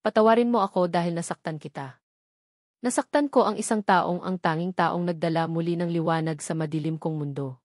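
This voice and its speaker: A woman's voice: fairly low pitch, steady tone, brisk, a little mellow, no echo, studio quality, lightly acted. A woman with a Filipino accent voicing a remorseful, lovesick rich man in a story, earnest and pleading. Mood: pleading, tender.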